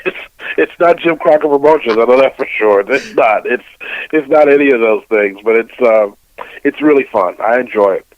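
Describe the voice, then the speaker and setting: A man's voice: mid-range voice, moderate pace, phone-quality sound. A middle-aged man, a radio host being interviewed, giving casual opinions and often repeating phrases as he builds a point.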